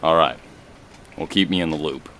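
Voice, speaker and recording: Man playing deep-voiced, easygoing federal agent. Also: normal speed, gravelly. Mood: casual, approving. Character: male FBI colleague, easygoing and businesslike. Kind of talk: scripted radio drama dialogue.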